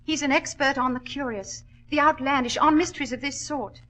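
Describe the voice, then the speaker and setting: An actress playing an anxious, determined widow who owns a mine, earnest and formal in a 1940s radio drama. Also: female voice, high voice, fairly quick, bright, forceful tone.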